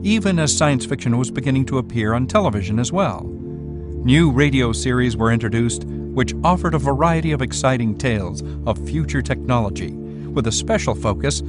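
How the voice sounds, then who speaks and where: A man narrates a documentary from a script. His pitch sits in the middle range, and music plays clearly behind him. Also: animated, normal speed. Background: prominent music.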